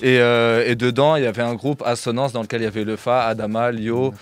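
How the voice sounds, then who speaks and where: A Parisian man in his thirties talking casually as a radio guest. His pitch sits at a mid level, and he speaks in a loose, slangy way that often breaks into laughter. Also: fast talker. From France. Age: 30s. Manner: relaxed, chatty, slangy, laughs easily. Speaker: rapper guest.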